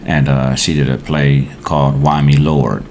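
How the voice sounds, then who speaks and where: A man's voice: extremely low pitch, flat delivery, unhurried, darkish tone, slight background noise. An American man in his forties chatting casually as a podcast guest, blunt, slangy and profane. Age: 40s.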